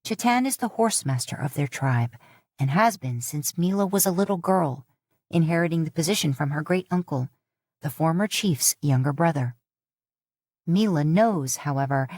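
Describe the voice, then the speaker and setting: Low-voiced woman narrating a story. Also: expressive, moderate pace, a little mellow, dry recording, clean studio sound. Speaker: podfic narrator. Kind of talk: scripted audiobook narration.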